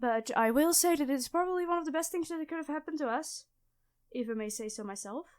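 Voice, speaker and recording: A woman's voice: high voice, lively intonation, brisk, a little mellow. A woman playing a clumsy, nerdy classmate who stammers and rambles apologetically in an ASMR roleplay. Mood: soft wonder. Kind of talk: ASMR audio roleplay addressed to the listener.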